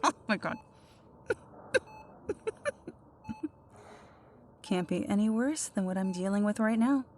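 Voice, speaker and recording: A woman's voice: mid-range voice, normal speed, a little mellow, close to an everyday voice. A woman acting a grumpy, sarcastic injured superhero in an ASMR roleplay, dryly exasperated with a nemesis. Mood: amused, exasperated. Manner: dry, sardonic, irritated.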